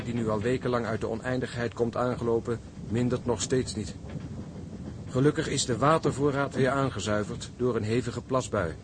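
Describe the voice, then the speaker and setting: A man's voice: medium pitch, natural voice. An actor playing a sober seaman who narrates the voyage like a journal in a Dutch radio drama. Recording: clear engine ambience noise.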